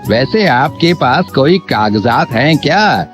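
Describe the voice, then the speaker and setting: Slow, sly greedy village landlord played by a man. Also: medium pitch, expressive. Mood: feigned innocence. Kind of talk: Hindi cartoon moral story dub. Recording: dull lo-fi audio with background music.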